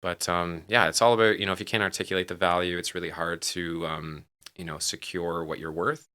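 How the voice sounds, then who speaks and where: Fast-talking, bright-voiced man in his forties. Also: sits low, level tone. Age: 40s. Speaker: podcast guest, real estate team leader. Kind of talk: conversational interview.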